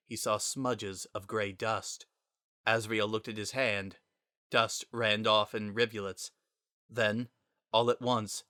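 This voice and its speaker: Male narrator, bright, forceful tone and close dry sound, for dramatic fantasy storytelling. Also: lowish voice, no echo.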